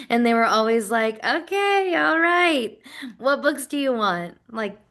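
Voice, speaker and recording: A friendly, dedicated program associate played by a woman with a somewhat high voice. She talks in a chatty, enthusiastic way, as if telling her own story in a dramatized interview. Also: animated, slow pace, fairly bright tone, heavily acted. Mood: fond, nostalgic. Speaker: performer portraying a university program associate. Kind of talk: dramatized first-person interview narrative, conversational.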